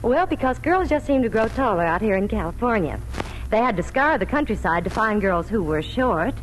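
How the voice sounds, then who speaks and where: Lively 1940s radio woman reporter. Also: mid-range voice, a little fast, a little hiss or hum, rough recording. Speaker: Hollywood reporter, ad presenter. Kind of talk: scripted 1940s radio advertising chat. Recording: old 1940s radio broadcast recording.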